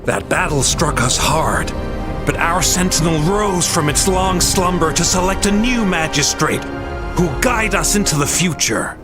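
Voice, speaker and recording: A man's voice: fairly high pitch, animated, measured pace. A man acting as a grandiose storyteller, delivering dramatic scripted narration of a heroic legend in a game. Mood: solemn, hopeful. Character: theatrical male storyteller recounting a heroic war legend. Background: music.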